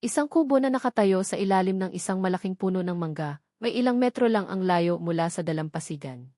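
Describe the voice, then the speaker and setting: A woman's voice: lowish voice, expressive, brisk, warm tone, no echo, clean studio sound. A woman with a Filipino accent giving a dramatic scripted narration of a romance story as an audiobook narrator.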